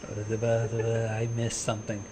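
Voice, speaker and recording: A man's voice: on the low side, monotone, slow pace, dark tone, a little hiss or hum. A man making short casual remarks as a guest on a livestream. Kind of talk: casual banter.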